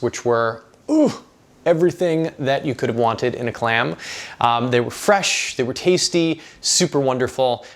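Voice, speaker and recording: A man with a mid-pitched voice hosts a food vlog. He tells his restaurant review like a story to a friend, chatty and full of enthusiasm, in a loose, casual style. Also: fairly bright tone. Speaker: food vlog host and narrator.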